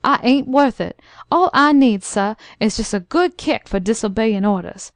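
A woman's voice: a little high, moderate pace, no room sound, studio quality. A woman voicing an elderly male servant who croons and fusses with devotion. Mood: humble. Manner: crooning, excited.

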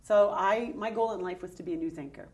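Woman, middle-aged, interview guest, candid, halting, distant from mic. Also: mid-range voice, darkish tone. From Ireland. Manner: candid, searching, with fillers and restarts. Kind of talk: personal story in an interview.

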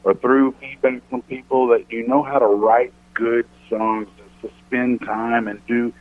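A man with a deep voice, a country singer chatting casually as a radio guest, rambling thoughtfully with many restarts. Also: flat delivery, measured pace. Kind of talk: casual radio interview chat. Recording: tinny phone-line sound.

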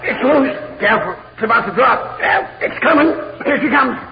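High-pitched, creaky outlaw henchman. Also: animated, moderate pace, a faint crowd, exaggerated voice. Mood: tense excitement. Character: excitable outlaw gang digger. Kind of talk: 1950s radio western acting. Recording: echoey, very noisy muffled radio recording.

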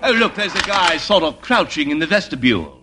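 A man's voice: fairly high pitch, big pitch swings, fairly quick. An actor playing an affable, puzzled suburban commuter and advertising man in a 1950s radio comedy. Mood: puzzled, friendly. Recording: noisy old radio recording.

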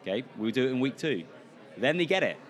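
A man's voice: medium pitch, sing-song, quick pace, some chatter behind. A man with a Scottish accent, a church planter, explaining in a discussion and asking himself rhetorical questions. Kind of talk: podcast discussion.

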